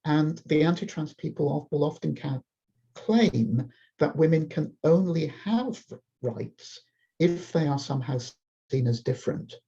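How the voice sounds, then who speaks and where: Gravelly, husky interviewer on dull audio. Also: mid-range voice, unhurried, mellow. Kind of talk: interview questions.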